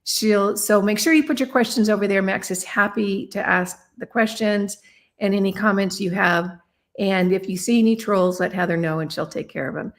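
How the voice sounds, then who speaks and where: A woman's voice: mid-range voice, moderate pace. Woman hosting a livestream interview. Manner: friendly, conversational. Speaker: host.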